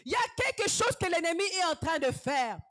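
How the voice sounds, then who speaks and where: A woman evangelist, preaching a sermon fervently, dropping to hushed whispers and rising to shouted exhortations. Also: very high voice, sing-song, a little fast.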